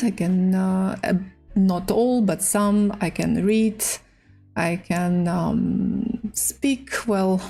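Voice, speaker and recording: Female chess streamer, native Russian with Russian accent. Unhurried, halting English, mid pitch, music underneath. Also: mellow. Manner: halting, hesitant English with pauses. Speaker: livestream host and chess player. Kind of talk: casual livestream chat while playing chess.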